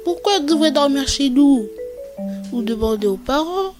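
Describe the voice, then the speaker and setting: A woman voicing a cheeky, self-assured young girl in an animated film. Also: high voice, moderate pace, music in the background. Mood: matter-of-fact.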